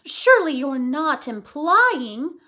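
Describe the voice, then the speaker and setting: A woman's voice: high voice, sing-song, slow, pushed and bright, full character voice. A woman voicing a bewildered, skeptical neighbor woman in a children's storybook, blunt and incredulous. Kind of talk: children's storybook read-aloud.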